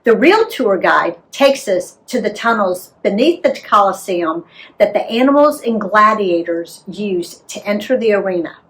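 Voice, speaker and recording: An American woman narrating a travel memoir as an author reading aloud, in an expressive, deliberate storytelling manner. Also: medium pitch, low-quality recording. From United States.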